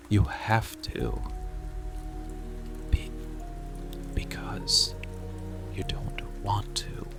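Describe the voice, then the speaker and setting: A man voicing a dark, seductive, protective monster lover in an ASMR roleplay, speaking in a breathy, gravelly murmur with deliberate pauses. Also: lowish voice, heavily acted. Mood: commanding, reassuring. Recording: rain and music behind close voice. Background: soft music and rainfall.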